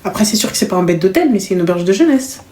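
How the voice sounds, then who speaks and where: A woman's voice: mid-range voice, expressive, racing, dark tone, reverberant. A woman hosting a live call-in chat, giving advice bluntly and familiarly, with slang and terms of endearment. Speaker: live show host giving callers advice.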